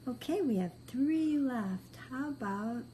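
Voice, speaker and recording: Slow-paced, very soft-toned woman teaching. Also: high voice, lively intonation, slight background noise, rough recording. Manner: patient, simple instructions with repeated praise. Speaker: reading teacher. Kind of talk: step-by-step phonics lesson for beginning readers.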